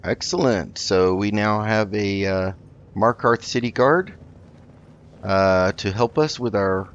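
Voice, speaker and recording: A man hosting a roleplay gaming video, improvising in character with a polite, halting delivery. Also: on the low side, clean tone, lo-fi sound. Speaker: gaming host.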